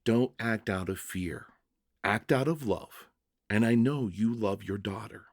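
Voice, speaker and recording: A man voicing a kind, serene male prisoner in a story reading, speaking softly, slowly and with tender reassurance. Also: on the low side, dry recording, clean studio sound. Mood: earnest, kind. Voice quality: soft, kind voice. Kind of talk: dramatic short-story reading.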